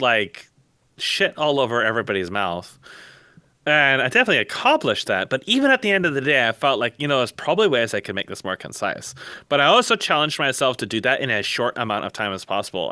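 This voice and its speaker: A man's voice: mid-range voice, bright, forceful tone, dry recording. A man in a casual opinionated discussion on an online voice call, rambling, blunt and emphatic. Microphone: close mic on an online voice call.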